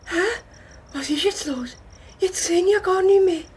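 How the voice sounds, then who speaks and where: A woman plays a timid little youngster with a very high voice, anxious and hesitant. It is children's radio play acting, with faint noise behind. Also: a little slow. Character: timid, worried little friend who wants to go home. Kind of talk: children's audio drama acting.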